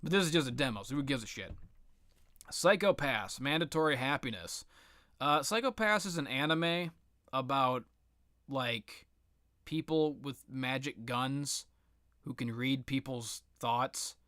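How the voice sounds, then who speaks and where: A man giving casual, rambling, opinionated video game commentary with sarcastic, profane ranting and a halting, repetitive delivery. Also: medium pitch, animated, sharp tone, no room sound, clean studio sound. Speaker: video game reviewer and host.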